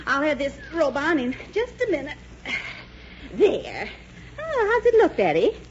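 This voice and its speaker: A woman's voice: sits high, wildly swooping pitch, a little slow, full character voice. An actress playing a fussy, easily flustered middle-aged American mother in a 1940s radio comedy. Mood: chatty. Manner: fussy, exclamatory. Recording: muffled vintage radio recording with hiss.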